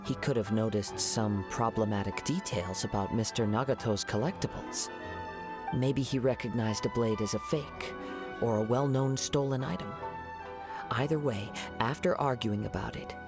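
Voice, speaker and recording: An actor playing a calm, poetic young wandering swordsman in a video game, speaking in a measured, thoughtful way. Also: medium pitch, close to an everyday voice. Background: game music.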